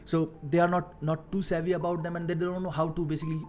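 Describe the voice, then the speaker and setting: A man's voice: a little high, flat delivery, a little mellow. Indian guy on a coding stream, lots of uh and like, faint music behind. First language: Hindi. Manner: halting, many fillers and repeated words. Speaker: live-streaming software engineer. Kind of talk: casual live-stream talk.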